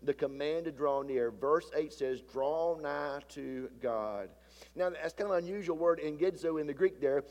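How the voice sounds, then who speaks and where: A male pastor preaching a sermon with a stuffy, sinus-deepened voice. He often swells louder and slows down to stress a point. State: stuffy from a sinus issue, voice deeper than usual. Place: church sanctuary. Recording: long hall reverb from a church sanctuary.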